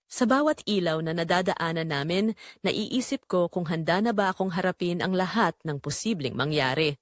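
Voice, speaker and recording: Expressive low-voiced Filipino woman narrator. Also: no echo, studio quality. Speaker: story narrator. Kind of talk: scripted first-person narration of a romantic drama.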